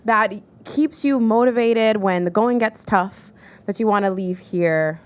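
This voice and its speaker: A woman's voice: mid-range voice, measured pace, clean tone. Upbeat woman co-host asking questions. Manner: upbeat, short affirmations like "period". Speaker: podcast co-host. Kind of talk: casual podcast interview.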